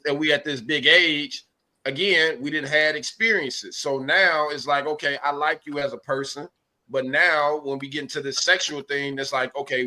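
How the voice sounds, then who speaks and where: A man co-hosting a casual podcast chat, speaking haltingly with many restarts and fillers. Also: fairly high pitch, pushed and bright. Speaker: podcast co-host.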